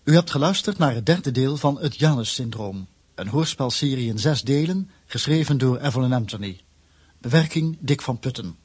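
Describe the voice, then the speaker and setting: A male radio announcer reads from a script in a husky voice. His pitch is middling, and the sound is dry with no room around it. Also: animated, normal speed, a little mellow, no echo. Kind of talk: scripted radio drama credits.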